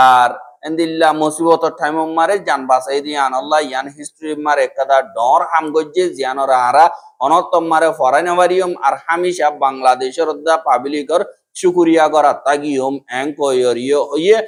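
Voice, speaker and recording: A man presents a scripted news bulletin. He speaks with a Rohingya accent. His voice sits a little higher than is usual for a man.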